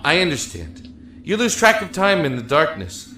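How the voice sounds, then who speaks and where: An actor playing an earnest, anguished young man locked in a dark cell, speaking haltingly and emotionally in an audio drama. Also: fairly high pitch, huge pitch swings, roomy sound, full character voice. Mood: weary, bitter. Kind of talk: audio drama acting and first-person narration. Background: music.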